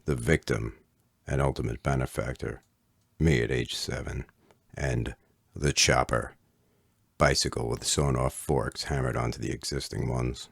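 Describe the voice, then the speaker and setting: A middle-aged man reading an autobiographical story as its author, in a measured, literate storytelling delivery. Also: very deep voice, steady tone, vocal fry, breathy edge, no echo, studio quality. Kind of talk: scripted autobiographical narration.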